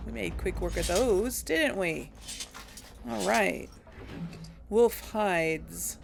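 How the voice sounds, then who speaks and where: A woman's voice: mid-range voice, extremely slow, creaky, very noisy. A young woman casually commentating as she plays a video game, chatty and amused, thinking aloud. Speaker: let's play host.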